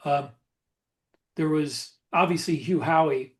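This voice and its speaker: A man with a noticeably high voice for a male speaker chats casually as a podcast host. He tells his stories reflectively, in a halting way, feeling his way through each thought. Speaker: podcast host and self-published author. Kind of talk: casual podcast conversation about a writing career.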